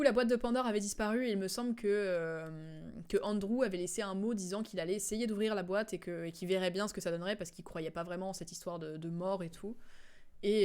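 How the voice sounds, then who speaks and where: A woman streams casual commentary over game cutscenes. Her voice sits at an average pitch. She recaps in a loose, halting ramble and sounds amused. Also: darkish tone.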